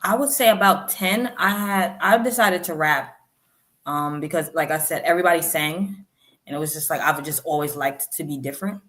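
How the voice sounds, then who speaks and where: A woman in her thirties, a rapper guest, telling stories in a chatty, animated way in an interview. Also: low-pitched, moderate pace. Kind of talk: interview storytelling.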